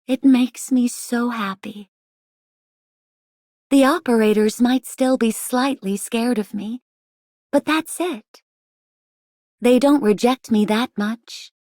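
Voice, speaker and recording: A reflective female game operator, with a fairly high voice. She speaks slowly and with portent, recorded close and dry. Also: mellow, no room sound. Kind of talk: scripted game character voice lines.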